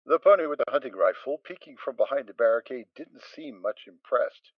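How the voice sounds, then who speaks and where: A man reads the narration with lively, swinging intonation. His voice sits in a middle register. The recording is dry and a little dull. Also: medium pitch, fairly quick, dry recording. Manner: expressive storytelling. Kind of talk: dramatic story narration.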